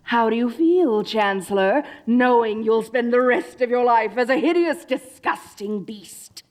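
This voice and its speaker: A woman playing a dorky, theatrical evil sorceress queen in a comedic roleplay audio drama, grandiose and gleefully wicked yet soft-hearted and awkwardly chatty. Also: on the high side, lively intonation, unhurried. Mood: gloating.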